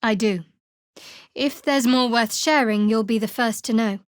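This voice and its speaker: An actress playing a guarded, sardonic young cleric of a dark goddess in a fantasy game, with a dry, wry delivery. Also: female voice, fairly high pitch, measured pace, dry recording, lightly acted. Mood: composed, solemn.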